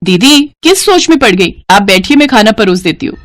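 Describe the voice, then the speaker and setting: A woman's voice: mid-range voice, sing-song, fairly quick, music in the background, natural voice. A woman voicing a chatty, breezily over-polite young housewife and sister-in-law in a cartoon. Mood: casually dismissive.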